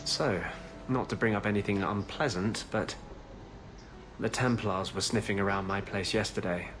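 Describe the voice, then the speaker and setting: A man voicing a brooding, devoted fugitive mage in love in a fantasy video game, speaking earnestly and haltingly. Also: fairly low pitch, steady tone, background noise, lightly acted. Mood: hesitant. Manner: earnest, halting, intimate delivery.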